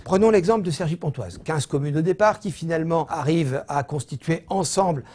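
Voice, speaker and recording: French guy from france lecturing like a prof, kinda high but mellow voice, explains stuff carefully, lots of hesitating. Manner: didactic, measured, with frequent hesitations. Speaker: business school professor giving an online lecture.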